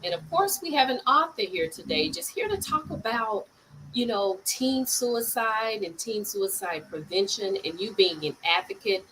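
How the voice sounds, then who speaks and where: Bright-voiced woman talk show host. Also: mid-range voice, expressive, moderate pace, a little hiss or hum, poor audio. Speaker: host, educator.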